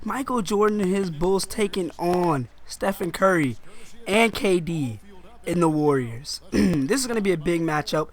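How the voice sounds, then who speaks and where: A young man with a slightly rough, sick voice, giving chatty, hyped commentary over his basketball video game. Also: high voice, expressive, a little slow. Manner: hyped, chatty, clears throat often. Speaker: gaming YouTuber. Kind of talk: casual gameplay commentary.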